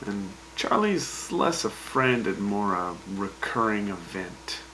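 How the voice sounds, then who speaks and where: A man's voice: fairly low pitch, big pitch swings, takes it slowly, pushed and bright, slight background noise, full character voice, rough recording. A man playing a wry, exasperated wasteland radio host, with a dry, folksy manner in scripted banter.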